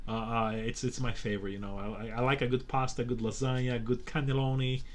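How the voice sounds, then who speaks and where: A man's voice: mid-range voice, level tone, fairly quick. A man from Brazil with a Brazilian Portuguese accent, speaking English as a second language as a podcast guest, earnest and repeating words as he searches for them. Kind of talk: casual interview conversation.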